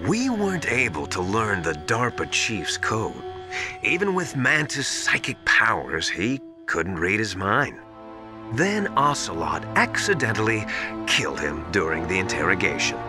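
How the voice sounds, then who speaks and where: Smug mocking video game villain, real slow n drawn out, huge swoopy delivery, loud music under it. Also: male voice, fairly low pitch, very animated. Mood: smug, gloating. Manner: drawn-out, gloating, theatrical delivery. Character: scheming villain posing as a trusted mentor, smug and mocking. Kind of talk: theatrical video game cutscene acting.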